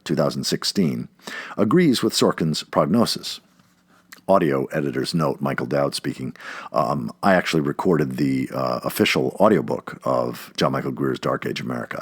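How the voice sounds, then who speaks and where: A man's voice: rumbling low, slightly rough, no echo, studio quality. A man narrating an essay aloud like an audiobook, with emphatic, dramatic delivery.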